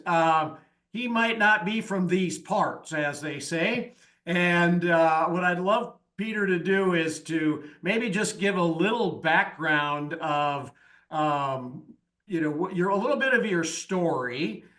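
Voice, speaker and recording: A man's voice: fairly high pitch, fairly bright tone. A man hosting a podcast, talking in a rambling way with many fillers as he introduces his guest. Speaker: podcast host. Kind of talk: casual interview talk.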